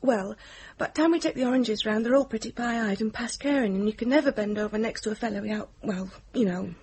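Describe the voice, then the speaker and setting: An actress playing a naive, chatty young waitress with a Northern English accent in a radio drama. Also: female voice, fairly high pitch, fast, a little mellow, slight background noise, close to an everyday voice. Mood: candid, chatty.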